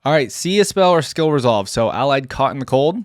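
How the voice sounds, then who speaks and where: A man's voice: medium pitch, animated, a little fast, no room sound, clean studio sound. A man hosting a reaction video, giving chatty, amused commentary as he thinks aloud.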